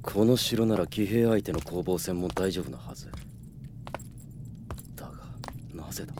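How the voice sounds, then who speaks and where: An actor playing a vigilant, commanding cavalry captain in a Japanese radio drama, given to orders and brooding asides. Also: male voice, moderate pace, noisy background, natural voice. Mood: brooding, suspicious. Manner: barks orders, broods in low mutters.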